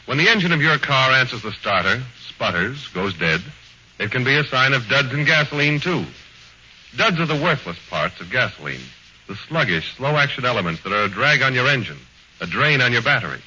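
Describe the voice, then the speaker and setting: Lively American radio announcer. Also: male voice, medium pitch, brisk, husky. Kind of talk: 1940s radio comedy sketch and commercial. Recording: dull 1940s radio broadcast with faint hiss.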